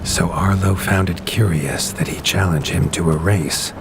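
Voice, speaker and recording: A man with a very low voice tells the tale like a storybook, grave and measured. Game ambience and noise run clearly behind him. Each line lands slowly and with weight. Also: fairly even intonation. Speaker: narrator.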